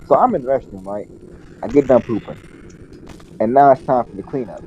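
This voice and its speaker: A young man telling a casual story to friends in an animated, slangy, profane way, acting out the dialogue. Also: mid-range voice, a little fast, dull audio, slight background noise. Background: video game music.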